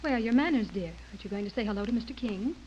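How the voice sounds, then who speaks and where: An actress playing a polite, fussing middle-aged wife and mother in a radio drama, smoothing things over. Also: fairly high pitch, expressive, quick pace, dark tone, close to an everyday voice. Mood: scolding. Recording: dull lo-fi radio recording, faint noise.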